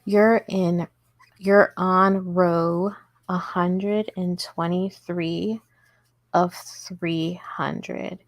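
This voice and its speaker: Lady chatting w her stream while crocheting, slow easy pace, laughs a lot. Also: on the low side, fairly even intonation, no room sound. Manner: chatty, giggly, talks to chat while working. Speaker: crochet livestream host. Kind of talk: casual livestream crochet tutorial. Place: indoors at home table.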